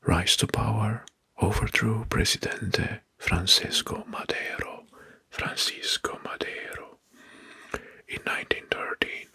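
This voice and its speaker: A man narrating soft-spoken ASMR in a breathy, husky whisper, deliberately repeating names and phrases. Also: fairly low pitch, steady tone. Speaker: host of an ASMR history channel.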